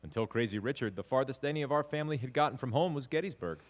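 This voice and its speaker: Man as an expressive, wry family storyteller. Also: medium pitch, very fast, lightly acted. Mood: fond, wry. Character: reflective grandson fondly telling family history. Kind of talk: dramatic monologue narration before live audience.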